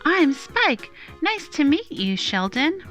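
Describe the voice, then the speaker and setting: A woman's voice: high-pitched, very animated, takes it slowly, a bit bright, soft background music, voice pushed up, full character voice. A woman voicing a nervous, friendly young male hedgehog in a children's storybook, polite and wistful. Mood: friendly, eager.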